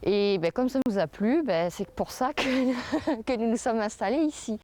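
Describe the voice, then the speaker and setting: A woman's voice: fairly high pitch, a little slow, a little mellow, far from the mic, a little hiss or hum. A woman answering interview questions in a hesitant way with many fillers. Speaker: interviewed newcomer.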